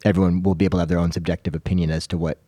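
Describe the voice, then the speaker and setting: A man's voice: low voice, level tone, rapid-fire, warm tone, dry recording. A man co-hosting a podcast, asking questions and discussing things casually.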